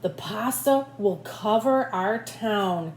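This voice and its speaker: A woman voicing panicked storybook townspeople with loud, urgent cries, recorded in an echoey room. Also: mid-range voice, lively intonation, slow. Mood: alarmed. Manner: loud, urgent, raised calls. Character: panicked townspeople and mayor crying out in alarm. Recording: echoey room with faint background noise.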